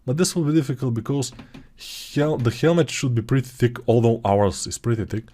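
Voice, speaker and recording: A man's voice: medium pitch, a little fast, warm tone. A man with a Bulgarian accent, speaking English as a second language, walking through a 3D modeling tutorial in a chatty, digressive way that often corrects itself. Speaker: 3D modeling course instructor. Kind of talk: software tutorial walkthrough.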